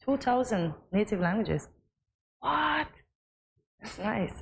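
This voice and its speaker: A woman's voice: medium pitch, expressive, takes it slowly, gravelly, breathy edge. A woman co-hosting a morning TV show, chatty and agreeable as she adds her own points. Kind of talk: casual studio chat. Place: TV studio.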